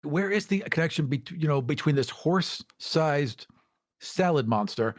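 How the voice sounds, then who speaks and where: A man's voice: a little high, animated, moderate pace, no room sound, clean studio sound. A man in casual discussion as a podcast co-host, questioning and joking dryly.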